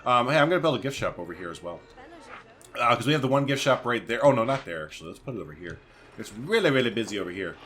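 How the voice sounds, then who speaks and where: A man's voice: medium pitch, lively intonation, racing, a bit bright, a little hiss or hum. A man hosting a gaming video, giving casual, slangy let's play commentary and thinking aloud.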